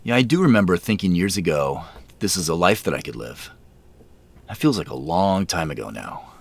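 A man's voice: sits low, big pitch swings, moderate pace, vocal fry, exaggerated voice. An actor playing a reserved, polite man visiting old family friends in an audio drama, hesitant and deferential. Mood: subdued, wistful. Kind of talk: naturalistic audio drama dialogue.

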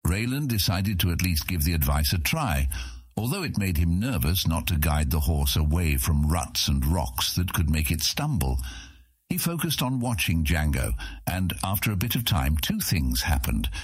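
A man reads scripted fantasy narration in a deep, gravel-edged voice. He tells the story in a measured way. The recording is dry, with no room sound around him. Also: very animated, no echo. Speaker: audiobook narrator.

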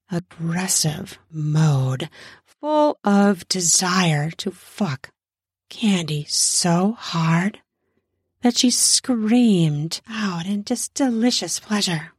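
Low-voiced woman narrating erotic fiction, unhurried. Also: lively intonation, darkish tone, husky, no echo, studio quality. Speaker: narrator of an erotic audio story. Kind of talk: erotic story narration with acted dialogue.

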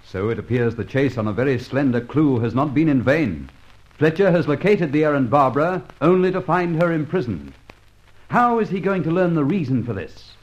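High-pitched male vintage radio announcer. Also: animated, normal speed, highs cut off. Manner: formal, dramatic. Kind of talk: scripted old radio serial narration.

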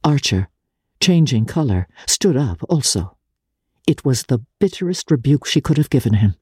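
A woman narrating literary fiction in a measured, deliberate and theatrical manner, as a dramatic reading. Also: extremely low pitch, big pitch swings, mellow, husky, dry recording, clean studio sound. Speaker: audiobook narrator.

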